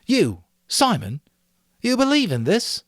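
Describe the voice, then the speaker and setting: A man's voice: high-pitched, moderate pace, no echo, clean studio sound, voice pushed up, close to an everyday voice. A man voicing a boy leader in a read-aloud story, earnest and trying to keep order. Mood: exasperated.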